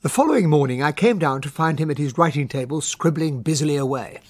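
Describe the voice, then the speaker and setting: An actor playing a bluff British gentlemanly sidekick who narrates and talks in a radio play. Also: male voice, fairly high pitch, lively intonation, quick pace. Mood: amused, teasing.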